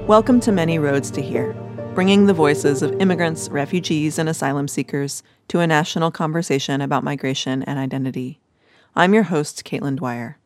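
A woman hosting a documentary podcast, reading a scripted introduction in a polished, even manner. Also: sits low, brisk, over music. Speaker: podcast host.

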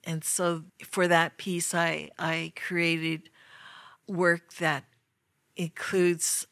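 A woman artist talking reflectively about her work in an interview. She speaks thoughtfully and pauses often. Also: on the low side, little pitch movement, gravelly, fairly bright tone, no room sound, studio quality. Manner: slow, thoughtful, pausing often.

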